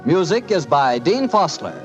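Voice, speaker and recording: A man's voice: a little high, sing-song, unhurried. An American man announcing in 1940s radio style, with folksy, polished salesmanship. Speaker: radio announcer and sponsor pitchman. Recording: muffled 1940s radio broadcast with music.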